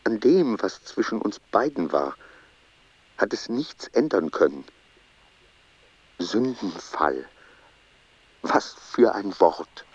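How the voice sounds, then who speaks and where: An actor playing a brooding man who confesses an obsessive affair in a literary radio play, with slow, deliberate delivery. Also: on the low side, very animated, breathy edge, no echo, lo-fi sound, exaggerated voice. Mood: brooding, haunted.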